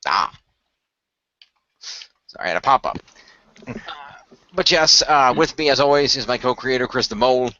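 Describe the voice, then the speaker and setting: A man's voice: medium pitch, animated, moderate pace, long pauses, pushed and bright, highs cut off. A man hosting and narrating an amateur fan radio play in a casual way.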